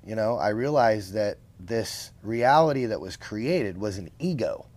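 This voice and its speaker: A man's voice: lowish voice, expressive, unhurried, a little mellow. A man as a podcast guest, explaining his views earnestly and often checking in with "right?" and rhetorical questions. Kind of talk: casual conversational interview.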